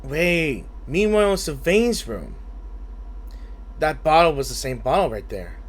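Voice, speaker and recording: A man's voice: fairly high pitch, lively intonation, unhurried. A man giving casual gameplay commentary, informally musing to himself. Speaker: gaming video host. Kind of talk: casual gameplay commentary, reading dialogue aloud. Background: faint game music.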